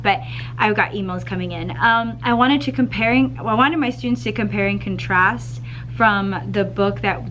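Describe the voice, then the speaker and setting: A second grade teacher with a slightly scratchy voice, chatting upbeat to camera in a school classroom, clearing her throat now and then. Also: medium pitch, fairly bright tone. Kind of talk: casual vlog chat to camera.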